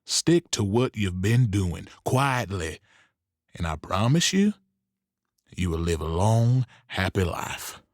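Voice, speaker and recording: A man plays a protective, shrewd saloon boss in an audio drama. He speaks in a measured, knowing way with a very mobile pitch, close and dry. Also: sing-song, no room sound, full character voice. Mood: protective warning. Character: shrewd, protective Old West saloon owner.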